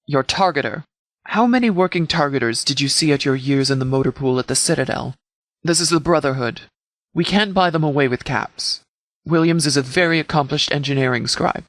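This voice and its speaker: A commanding video game sheriff, acted by a man, pitched a little above the usual male range. He speaks in measured, formal sentences with stern authority, like a shrewd negotiator laying down terms. The sound is close and dry, free of room reflections. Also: a bit bright, no room sound. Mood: calm, calculating. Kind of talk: scripted game dialogue.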